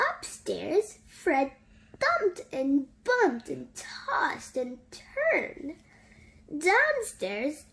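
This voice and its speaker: High voiced lady reading a kids bedtime story, super slow, sing-songy and hugely expressive. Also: creaky, rough recording. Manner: animated, sing-song, drawn-out delivery for young children. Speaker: children's storybook reader.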